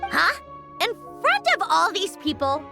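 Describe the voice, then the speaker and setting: A woman playing a proud, prickly young woman in a video game, haughty and easily flustered, with a hidden soft side. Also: very high voice, very animated, a little slow, vocal fry, over music, heavily acted. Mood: flustered, grudging.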